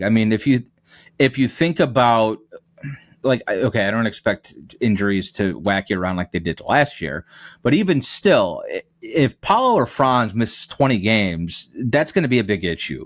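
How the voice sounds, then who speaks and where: A man's voice: fairly low pitch, no room sound, clean studio sound. A man giving conversational sports analysis as a podcast guest. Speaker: radio play-by-play announcer, podcast guest.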